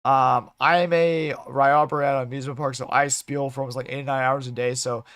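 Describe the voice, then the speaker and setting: A man with a slightly hoarse voice chatting casually as a livestream host, in a halting way with repeated and restarted phrases. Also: medium pitch, level tone, a bit bright, no room sound, clean studio sound.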